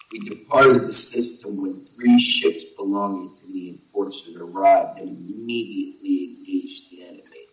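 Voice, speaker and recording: A man acts out a solemn alien warrior in an audio drama, low in pitch and very slow. He is formal and grave, with impatience under the measured words. The voice sits away from the mic in a roomy echo. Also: a little mellow, far from the mic, natural voice. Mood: grave, warning. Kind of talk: scripted audio drama dialogue.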